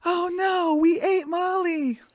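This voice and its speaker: A woman voicing an excitable, easily shocked chicken in a radio comedy sketch, exclaiming melodramatically. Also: very high-pitched, slow, no echo, voice pushed up.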